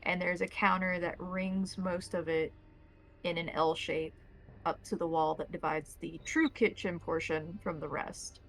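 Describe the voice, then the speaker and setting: A woman narrating a tabletop roleplaying game as game master, describing scenes in deliberate detail. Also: fairly low pitch, fairly even intonation, fairly bright tone, faint music behind.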